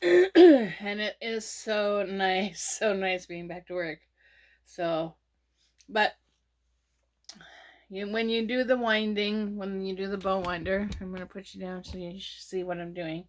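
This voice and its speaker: A woman walks through a yarn-winding tutorial in an easygoing, unhurried way. She is sniffly from a cold, and her speech comes slowly, with frequent pauses and filler words. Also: mid-range voice, darkish tone. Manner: slow, chatty, with many fillers and pauses. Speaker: crochet channel host. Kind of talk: casual crafting tutorial.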